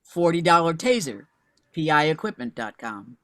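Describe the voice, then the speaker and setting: A woman's voice: sits low, sing-song, normal speed, dry recording, studio quality. A woman reading the part of a defiant amateur investigator in a screenplay table read. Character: defiant amateur female investigator, quick to retort.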